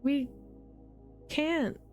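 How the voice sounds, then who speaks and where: A woman's voice: sits high, steady tone, very slow, a little mellow, soft background music, voice pushed up. A woman voicing a blunt, hot-tempered man who talks curtly. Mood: urgent. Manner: curt, snapping.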